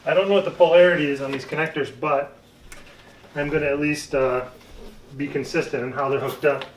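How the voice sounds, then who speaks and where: A man giving a casual, unscripted gear review, thinking aloud in a halting way as a vlogger. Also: on the high side, fairly even intonation, a little hiss or hum. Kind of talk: casual unscripted product talk. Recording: roomy echo, distant microphone.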